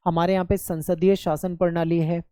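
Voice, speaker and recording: Mellow-voiced patient lecture teacher. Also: mid-range voice, flat delivery, normal speed, clean tone, no room sound, studio quality. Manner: patient, repetitive explaining with frequent "okay" check-ins; mixes English terms into the explanation. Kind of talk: classroom lecture explaining a textbook chapter.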